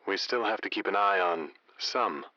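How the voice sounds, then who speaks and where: An actor playing a smooth, flirtatious late-night radio host with a florid, theatrical way of talking in an audio drama. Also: male voice, low voice, normal speed, bright, forceful tone, no echo, muffled recording. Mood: tactful.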